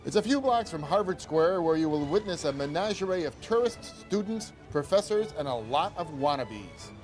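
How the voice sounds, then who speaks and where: An older man narrates a travel program in an upbeat, conversational tour-guide manner. His voice sits a little high for a man. Faint music plays beneath the voice-over. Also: very animated, normal speed, poor audio. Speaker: travel show host.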